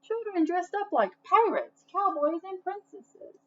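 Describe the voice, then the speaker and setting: A slightly dull recording of a woman reading aloud to children. Her voice is high and she takes her time, narrating expressively as a storyteller. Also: measured pace. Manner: expressive, asks listeners questions. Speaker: storybook reader. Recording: dull lo-fi sound, faint noise.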